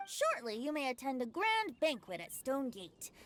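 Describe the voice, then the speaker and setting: Carefree boy bard, high and lively, lilting, charming, anime game. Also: normal speed. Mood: playful, lilting. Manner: lilting, poetic, playful. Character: cheerful, carefree boyish young male bard, playful and charming. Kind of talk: anime video game voice acting. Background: game music.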